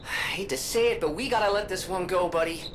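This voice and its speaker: An actor voicing a small, friendly, sensible cricket companion in a video game, animated and coaxing. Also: mid-range voice, fast, music in the background, natural voice. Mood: urgent, reluctant.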